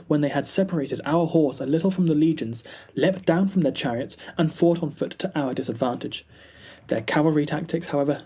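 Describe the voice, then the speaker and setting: A young man giving a formal, deliberate dramatic reading of a historical war narrative. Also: fairly high pitch, dark tone, breathy edge. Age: young adult. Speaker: student reader.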